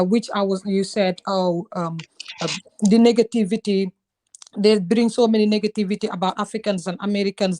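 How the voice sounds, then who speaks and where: A woman's voice: medium pitch, darkish tone. A woman from Gambia, a YouTuber, speaking with passion in a casual group discussion and often quoting herself. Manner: passionate, emphatic storytelling, quotes herself often.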